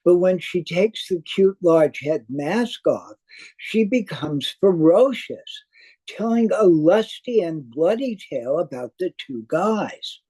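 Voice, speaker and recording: Older man, slightly high voice, slow pace, dark mellow timbre, scripted theater review. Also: lively intonation, husky.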